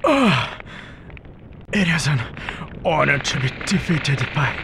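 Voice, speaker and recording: An actor playing a noble, honorable master swordsman in a video game, formal and halting. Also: fairly high pitch, big pitch swings, vocal fry, a bit bright, full character voice. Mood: pained, dying. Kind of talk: video game cutscene acting.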